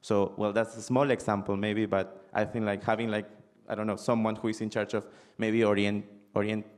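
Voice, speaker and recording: A young man, a student on a panel, telling an example in a halting way full of fillers and restarts. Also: fairly low pitch, flat delivery. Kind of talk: panel discussion anecdote.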